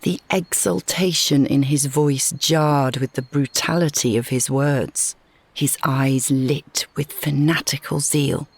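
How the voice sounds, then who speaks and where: A woman reading a scripted short story as narrator, in a measured, dramatic storytelling manner. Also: low-pitched, steady tone, slightly rough, dry recording.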